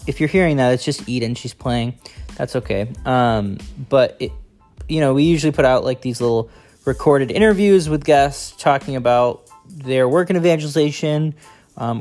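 Man, podcast host, loud resonant voice, casual home chat on a phone, faint music behind. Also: mid-range voice, normal speed. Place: living room at home.

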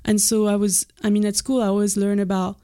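Female guest, French accent, candid and soft-spoken, mid-pitched. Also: little pitch movement, a little fast, clean tone. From France. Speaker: missionary guest. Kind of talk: personal testimony in an interview. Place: studio.

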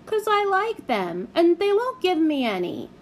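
A woman's voice: extremely high pitch, huge pitch swings, a little slow, a little hiss or hum, pitched above the performer's own voice, full character voice. A woman voicing a spoiled, sulky small girl with babyish grammar. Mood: whiny. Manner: childish grammar, whiny.

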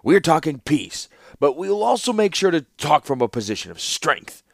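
A cunning warlord speaks gruffly and with deliberate weight, as a man puts him on. His low voice moves with lively pitch, dry and close to the mic. It is a storybook voice. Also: no echo. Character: cunning middle-aged male warlord and ruler.

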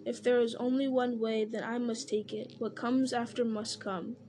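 A woman's voice: on the high side, flat delivery, mellow, slight background noise, natural voice. A woman reading aloud and voicing a grim, resolute young male hero who speaks firmly and solemnly. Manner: firm, solemn, measured.

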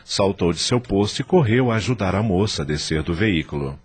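Male narrator for radio drama: deep, husky, animated delivery. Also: brisk. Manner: expressive storytelling.

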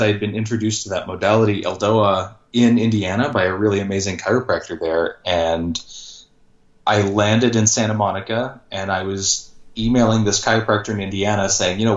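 Thirtysomething guy, deep-ish voice, chill rambly podcast guest, echoey room. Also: distant mic, muffled recording. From born in Canada, grew up in Georgia, USA. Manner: relaxed, rambling with fillers. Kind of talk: casual storytelling in an interview.

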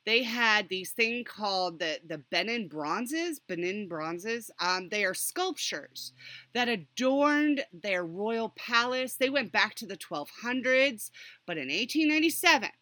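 A woman hosts a casual history chat in a bright, forward voice. She rambles animatedly through her stories and drops sardonic asides. The sound is dry, with very little room in it. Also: mid-range voice, animated, measured pace, dry recording. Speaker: podcast host.

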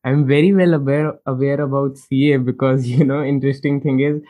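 Man, from India, interview host, casual and chatty, frequent laughs, mid-pitched voice. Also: steady tone, fairly quick, narrow, tinny audio. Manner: friendly, chatty, laughs between phrases.

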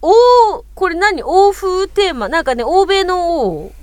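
A woman's voice: very high voice, expressive, measured pace, a little mellow, no room sound. A woman co-hosting a casual chat, sometimes reading aloud and commenting as she goes. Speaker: podcast co-host.

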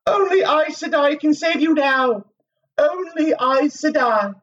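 A man's voice: very high voice, unhurried, fairly bright tone, distant mic, lo-fi sound, voice pushed up. A man voicing a severe, commanding sorceress with a cutting manner in a fantasy storybook reading. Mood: stern warning. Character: serene, severe sorceress with a lashing voice.

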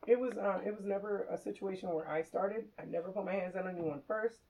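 A woman co-hosting a comedy podcast, a loud talker with a bassy voice and dry, deadpan jokes. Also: brisk, poor audio. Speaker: podcast co-host. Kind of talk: casual comedic chat.

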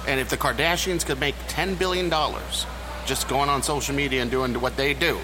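A man's voice: medium pitch, fairly even intonation, a little fast. A young adult man talking emphatically and rhetorically in a casual podcast panel, recorded in a lounge. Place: cigar lounge. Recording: clearly noisy lounge recording.

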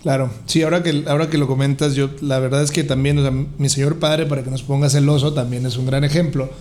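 A man's voice: medium pitch, little pitch movement, fast talker, darkish tone. A man with a Mexican accent hosting a podcast in a casual, jovial, joking way. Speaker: podcast host. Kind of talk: casual interview chat.

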